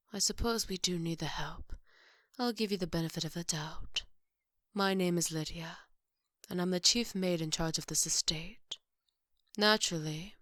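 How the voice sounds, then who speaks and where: A woman playing a strict, dryly sarcastic chief maid in an ASMR roleplay, speaking in a formal, measured, scolding way. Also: fairly low pitch, fairly bright tone, dry recording, studio quality, lightly acted.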